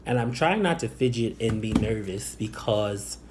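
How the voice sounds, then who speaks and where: A young man from the Chicago area telling a personal story to camera, soft-spoken and hesitant, with many ums and restarts. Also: lowish voice, expressive, normal speed, background noise. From Northwest Indiana, Chicago area. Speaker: vlogger.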